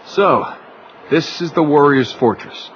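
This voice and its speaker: An actor playing a sarcastic young rogue adventurer who talks in an offhand, wry way. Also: male voice, fairly high pitch, slow pace, lo-fi sound, a little hiss or hum.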